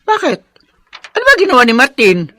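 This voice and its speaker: A woman's voice: high-pitched, wildly swooping pitch, a little fast, a bit bright, dry recording, exaggerated voice. An actress playing a fiery old mother-in-law in a Filipino radio drama, with a Filipino accent. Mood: alarmed. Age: older.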